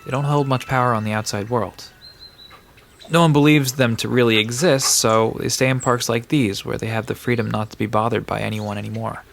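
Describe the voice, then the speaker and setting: A man's voice: medium pitch, fairly even intonation, a little fast, gravelly, slight background noise, natural voice. A man playing a laid-back, bookish park resident in an audio drama, casually explaining myths with a dry manner. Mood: reassuring, explanatory. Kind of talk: conversational audio drama storytelling.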